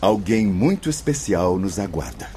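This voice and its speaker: A man's voice: low voice, big pitch swings, normal speed, mellow, faint music behind. A man playing a composed, scholarly spirit instructor in a radio drama, speaking in a formal, declamatory way. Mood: composed, earnest.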